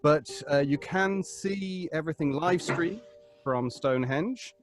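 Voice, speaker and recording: A man's voice: fairly high pitch, a little slow, faint music behind. An English man chatting casually on a live stream as a cast member, wry and affable as he explains things.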